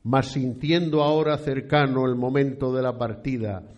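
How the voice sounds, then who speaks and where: A man's voice: mid-range voice, fairly even intonation, moderate pace, a little mellow, muffled recording, lightly acted. A man as a solemn, frail old priest. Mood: devout, wistful. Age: older. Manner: solemn, archaic, declamatory. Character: frail, devout, meditative old priest near death. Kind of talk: radio drama monologue.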